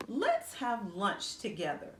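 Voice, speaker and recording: Woman playing a slow-spoken, kindly lady teacher. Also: mid-range voice, wildly swooping pitch, fairly bright tone, heavily acted. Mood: encouraging. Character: kind, encouraging woman teacher comforting a young pupil. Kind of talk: children's storybook read-aloud. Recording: roomy echo, faint background noise.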